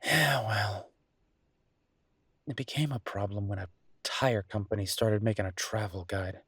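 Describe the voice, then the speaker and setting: A man acting as a chef boyfriend speaks in a low, husky voice, recorded close and dry. He chats casually and intimately, stopping and restarting as he goes. He is affectionate and teasing, with a grumbling streak, in the style of an audio roleplay. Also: dry recording. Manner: casual, teasing, halting conversational delivery.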